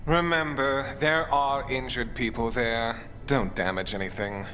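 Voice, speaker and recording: An actor playing a bitter, sardonic and distrustful ally in a video game, with a cutting, sarcastic delivery. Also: male voice, medium pitch, fairly even intonation, unhurried, bright, forceful tone, slight background noise. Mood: bitter sarcasm. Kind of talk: dramatic video game acting.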